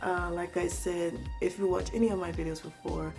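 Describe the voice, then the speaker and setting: A woman with a raspy, hoarse voice from a cold, chatting casually to viewers in a home haul vlog, rambling with asides. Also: lowish voice, level tone, normal speed, music in the background. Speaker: home organizing vlogger.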